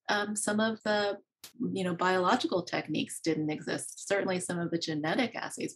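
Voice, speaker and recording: Woman researcher, low husky voice, earnest and quick, laughs lightly as she talks. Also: poor audio. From Maryland, US. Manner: earnest, fast, with small laughs and fillers. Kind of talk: conversational interview.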